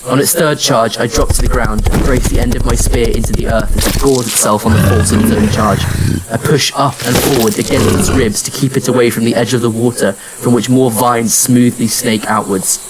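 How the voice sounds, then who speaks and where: A man with a medium-pitched voice narrates a scripted first-person drama. His storytelling is measured and serious, and there is clear background noise with insect-like sounds. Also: fairly even intonation. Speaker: narrator and lead actor.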